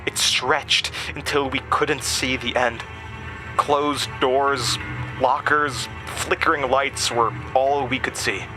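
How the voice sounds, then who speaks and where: A man narrating a horror audio drama in the first person, with a grave, suspenseful delivery. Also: medium pitch. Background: music.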